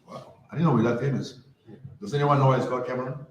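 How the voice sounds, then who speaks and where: A man's voice: medium pitch, steady tone, quick pace, slight background noise. A man from France giving a conversational talk to a live audience, often asking the crowd questions. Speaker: conference speaker. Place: event hall. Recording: roomy hall with faint ambience.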